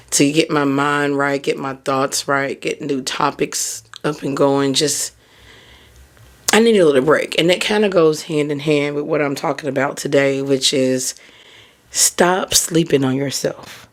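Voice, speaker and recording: A hoarse woman hosting a podcast, talking in a candid, encouraging way and peppering her speech with "like" and "you know". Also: deep voice. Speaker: podcast host. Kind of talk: casual motivational talk.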